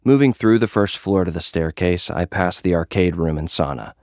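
A man's voice: low voice, moderate pace, a little mellow, dry recording, studio quality. A man playing a jaded young influencer who fights as a gladiator, narrating an audio drama in a casual, slangy, confessional way. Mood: jaded, confiding.

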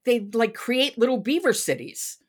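A woman's voice: a little high, very animated, moderate pace, husky. A woman hosting a podcast, chatting casually about books. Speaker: podcast host. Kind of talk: casual conversational book discussion.

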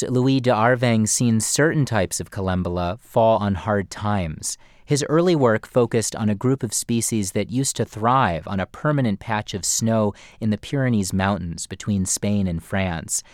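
Low-voiced male radio reporter. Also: darkish tone, no echo, studio quality. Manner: measured storytelling delivery. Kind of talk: scripted radio narration.

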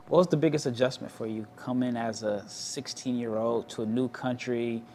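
A man's voice: mid-range voice, steady tone, normal speed, mellow, a little hiss or hum. A man interviewing a basketball prospect, asking curious questions in a loose, casual way and often saying "like". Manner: curious, loose phrasing with many "like"s.

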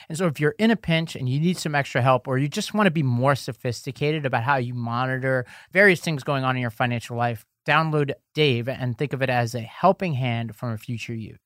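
A man's voice: medium pitch, fairly bright tone, no echo, studio quality. A man co-hosting a political podcast, explaining court decisions step by step and reading ads in a casual tone. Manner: explanatory, walks through legal details step by step. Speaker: podcast co-host.

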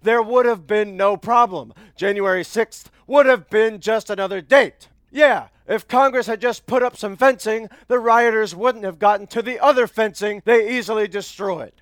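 A man's voice: high-pitched, normal speed, few pauses, a bit bright, no room sound, studio quality. A man playing a brash, smug and clueless right-wing pundit host in a cartoon news satire, with a bombastic delivery. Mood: smug, indignant. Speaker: panel show host. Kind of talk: satirical cable news commentary.

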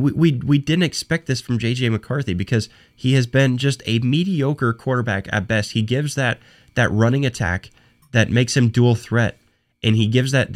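A young man hosting a solo sports talk podcast, hoarse from a cold and losing his voice, talking in halting bursts with frequent restarts and repeated words. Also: mid-range voice. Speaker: podcast host.

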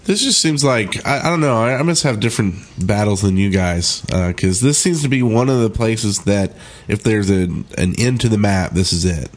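A man's voice: on the low side, expressive, moderate pace. A man chatting casually about game strategy as a podcast panelist.

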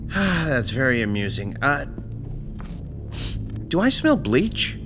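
A man's voice: mid-range voice, big pitch swings, takes it slowly, music in the background. A man playing a fussy, controlled and sinister boss in an audio drama, prim and dry. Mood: suspicious, dry.

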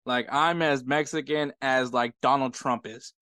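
American man, podcast co-host, animated storyteller, clear voice, dry room. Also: medium pitch, measured pace, no room sound. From United States. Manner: laughing often, animated storytelling. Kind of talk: casual chat.

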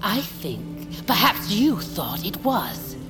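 A woman playing a menacing vulture interrogator in an audio drama, speaking deliberately and theatrically with cold threat. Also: medium pitch, very animated, over music. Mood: stern, scornful. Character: menacing vulture lord, interrogator and assassin hunter.

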